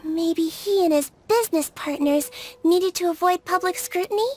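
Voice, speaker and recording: An actress voicing a small, gentle childlike creature who works as a nurse, in an earnest, sweet and curious video game performance. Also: female voice, very high-pitched, normal speed, slight background noise. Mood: puzzled. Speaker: game character.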